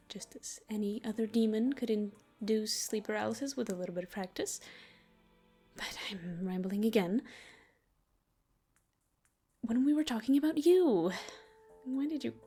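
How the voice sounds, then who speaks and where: A woman plays a female sleep paralysis demon in an intimate audio roleplay. Her mid-pitched voice is soft and breathy, slipping toward whispers, teasing yet tender. Music plays clearly behind her. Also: moderate pace. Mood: gentle, soothing. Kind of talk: intimate roleplay ASMR voice acting.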